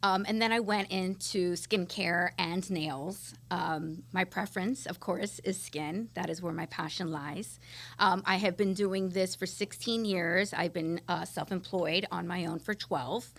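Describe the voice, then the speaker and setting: A woman's voice: fairly low pitch, fairly even intonation, unhurried, creaky. A middle-aged woman from Miami with an American accent, answering interview questions in an enthusiastic, explanatory and conversational way. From Miami, United States. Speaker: interview guest, esthetician.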